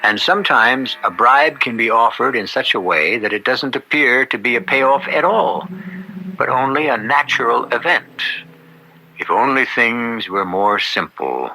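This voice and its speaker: A man hosting an old-time radio anthology, narrating in a wry, measured, reflective way. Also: medium pitch, lively intonation, sharp tone, a little hiss or hum, rough recording. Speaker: host.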